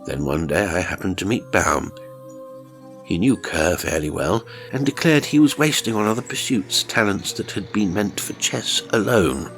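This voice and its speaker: Deep-voiced man narrating horror, highly expressive. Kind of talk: dramatic first-person scripted narration. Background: music.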